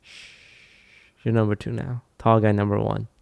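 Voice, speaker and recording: A man's voice: on the low side, completely flat delivery, normal speed, creaky, muffled recording, noisy background. A man streaming, reacting to a video with dry, blunt, teasing remarks. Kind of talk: casual live-stream commentary.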